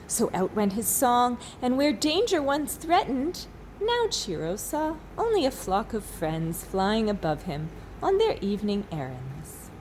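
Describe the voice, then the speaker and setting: A woman teacher reading a children's storybook aloud expressively, with dramatic pauses. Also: on the high side, very animated, darkish tone, a little hiss or hum, rough recording. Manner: slow, dramatic pauses, asks listeners questions.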